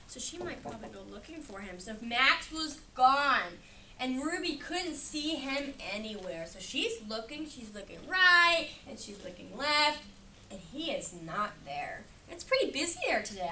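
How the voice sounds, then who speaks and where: Animated, high-voiced woman reading to children. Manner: asks questions, echoes and affirms children's answers. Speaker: read-aloud educator. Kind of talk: interactive picture-book read-aloud to children. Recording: distant mic in room with background noise.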